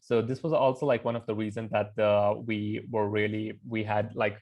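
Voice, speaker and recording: A man who is a guest admissions consultant, talking in a halting way with many fillers and restarts. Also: lowish voice, flat delivery, dull audio. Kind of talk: casual interview chat.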